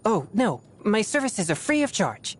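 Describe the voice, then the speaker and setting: A woman playing a solemn, disciplined teenage boy exorcist in a video game, with formal, measured speech. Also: medium pitch, sing-song. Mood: solemn, composed. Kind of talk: scripted video game character voice lines.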